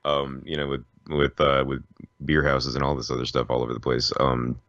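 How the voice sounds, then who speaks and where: American dude, super low voice, loose rambly podcast talk, dry kinda muffled sound. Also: male voice, level tone, moderate pace, fairly bright tone, no room sound. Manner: rambling, informal storytelling. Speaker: podcast host. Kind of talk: casual podcast chat.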